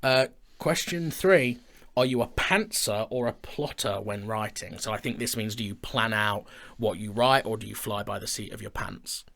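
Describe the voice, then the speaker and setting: A man's voice: fairly low pitch, normal speed, creaky, a bit bright. A man hosting a casual conversation about writing, reading out questions and answering in a chatty, self-deprecating way. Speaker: podcast host and audio drama writer.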